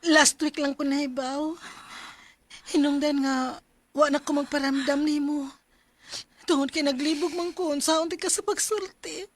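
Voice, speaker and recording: An actress with a Filipino accent playing an expressive young best friend in a radio drama. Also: female voice, sits high, a little slow, pauses often. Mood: guilty, tearful.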